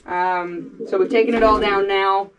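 A woman's voice: medium pitch, steady tone, unhurried, a crowd in the background. A woman in her thirties from Canada, explaining things casually to camera as a homesteader. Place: farm coop and yard.

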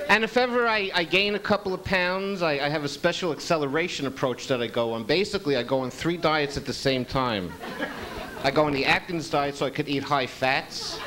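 Middle-aged New stand-up, deadpan and self-mocking, slightly high voice, loud hiss on a live club tape. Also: male voice, brisk, crowd noise. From Queens, New York. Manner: self-deprecating, deadpan delivery. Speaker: stand-up comedian. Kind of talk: stand-up set. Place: small comedy club stage, indoors. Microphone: handheld mic. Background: audience laughter.

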